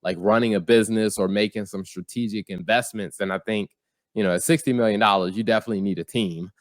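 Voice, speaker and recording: A man co-hosting a podcast, talking casually and explaining things in a measured, step-by-step way. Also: on the low side, steady tone, no echo, clean studio sound. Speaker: podcast co-host.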